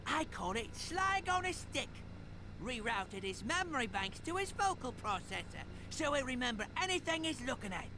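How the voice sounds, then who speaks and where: A man's voice: very high-pitched, big pitch swings, normal speed, background noise, exaggerated voice. A man voicing a boastful, scheming robot pirate first mate in a video game, with theatrical, jaunty pirate talk. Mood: gleeful, boastful. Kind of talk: video game cutscene acting.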